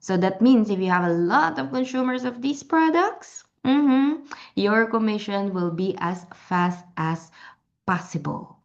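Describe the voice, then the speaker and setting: A woman whose first language is Tagalog talks through a product demo in a chatty, meandering way, often restarting her sentences. Her pitch sits in the middle range. The recording sounds a little dull and lo-fi. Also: lively intonation, unhurried, creaky. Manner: chatty, many restarts and fillers. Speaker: product seller. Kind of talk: product demonstration.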